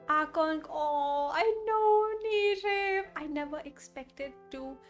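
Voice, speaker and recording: A woman's voice: high-pitched, takes it slowly, soft background music. A woman giving playful, effusive live-stream reactions to a game story, casually echoing its dialogue.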